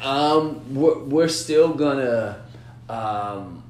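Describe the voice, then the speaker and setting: A man's voice: medium pitch, drawn-out words, a little mellow. A man talking through business plans in a casual, rambling way, often repeating words and checking in with "you know what I'm saying". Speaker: business-minded artist partner. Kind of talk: casual planning chat. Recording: echoey room with noise.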